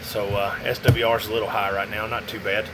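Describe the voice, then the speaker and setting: A man's voice: lowish voice, level tone, brisk, noisy background. A man giving a casual, rambling hands-on product demo, muttering to himself as he goes. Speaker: radio seller demonstrating a CB radio.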